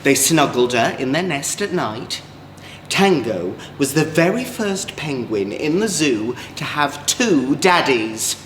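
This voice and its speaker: A man with an English accent, a drag queen storyteller, reading a children's story in an expressive, gentle way. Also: on the high side, slow. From Manchester, England. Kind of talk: children's storybook read-aloud. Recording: echoey room, clear background noise.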